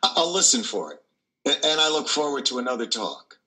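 An older man reads the part of a reclusive, kindly priest in a play. His voice is medium-pitched and strikingly bright. He speaks with gentle, hesitant encouragement. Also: sing-song, brisk. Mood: warmly inviting. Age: older, 60s or over. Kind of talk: play reading over video call.

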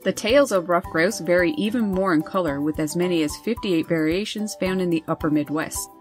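A woman host reading scripted nature narration over wildlife footage in a measured, informative way. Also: fairly low pitch, faint music behind. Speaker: birding channel host.

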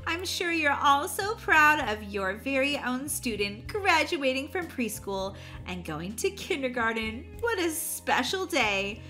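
A woman's voice: high voice, sing-song, measured pace, pushed and bright, faint music behind, full character voice. A woman playing a kindly preschool teacher who addresses parents and hands out diplomas in a sing-song manner.

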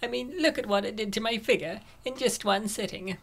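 A woman's voice: a little high, fairly quick, vocal fry. A woman playing a poised, well-off young woman in a comic dub, speaking in a natural voice. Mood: relaxed, indulgent. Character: rich, poised, unsuspecting young woman friend.

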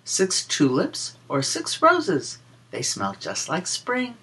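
A woman reads a children's counting book aloud, slowly and with lots of swooping pitch. Her voice is a little husky, and she explains things in a deliberate, sing-song teaching manner. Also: huge pitch swings, fairly bright tone. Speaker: children's story reader and teacher. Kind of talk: picture-book read-aloud with counting for preschoolers.

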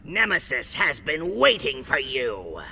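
A man voicing an arrogant giant-headed mutant scientist villain in a video game, pompous and grandiose, with a gravelly, strained voice. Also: a little high, wildly swooping pitch, a little slow, heavy background noise, full character voice. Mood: gloating. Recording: loud music and battle effects behind.

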